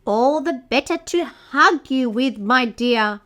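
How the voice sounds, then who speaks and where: A woman plays a cunning storybook wolf, greedy and smug. He draws his words out slowly, gloating slyly over every phrase as a villain in a fairy tale. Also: high voice, expressive, pushed and bright, full character voice. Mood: sly, menacing.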